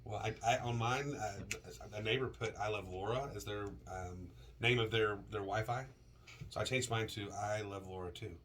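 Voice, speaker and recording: A man co-hosting a casual radio show, a little sick with a deeper voice, rambling and repeating words. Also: normal speed, a bit bright, a little hiss or hum.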